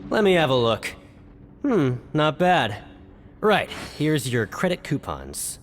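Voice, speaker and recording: A man's voice: fairly high pitch, big pitch swings, slow, slight background noise. A man voicing a brusque, bossy production foreman in a video game, barking and grudging.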